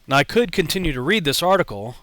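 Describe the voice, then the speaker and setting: A man's voice: fairly high pitch, sing-song, fast talker, a bit bright, dry recording. A man with an American accent giving informal commentary as host, sometimes reading aloud.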